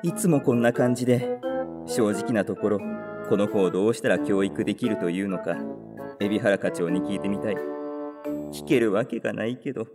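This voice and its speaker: A man's voice: fairly low pitch, a little fast, over music, close to an everyday voice. An actor playing a timid, overly considerate young male salesman who stammers when flustered. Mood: narrating inwardly. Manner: hesitant, flustered.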